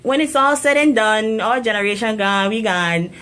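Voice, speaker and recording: A woman's voice: fairly high pitch, lively intonation, moderate pace, a bit bright. Female radio DJ, casual and encouraging interviewer. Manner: friendly, encouraging, slang-flavored questions. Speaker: radio host. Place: radio studio.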